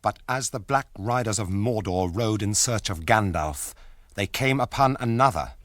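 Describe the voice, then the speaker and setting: A man giving solemn, measured, scripted narration for a fantasy radio drama. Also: fairly low pitch, background noise. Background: music.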